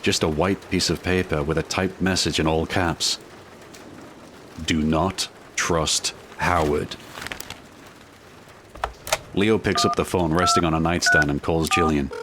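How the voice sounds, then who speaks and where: A man narrating a scripted gothic audio drama as a measured, descriptive storyteller. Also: very deep voice, fairly even intonation, noisy background.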